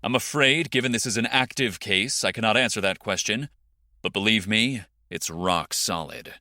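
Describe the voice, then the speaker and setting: A guarded male FBI agent, acted for an audio drama, formal and measured. His voice is a little low with a bright, forceful edge, in a very dry recording. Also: no echo. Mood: guarded, firm. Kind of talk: fiction podcast interview acting.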